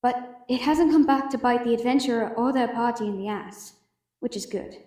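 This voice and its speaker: A woman playing a wry, affectionate young merchant in a fantasy audio drama, gently teasing and a little hesitant. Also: a little high, moderate pace, no echo, close to an everyday voice. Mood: casual, friendly.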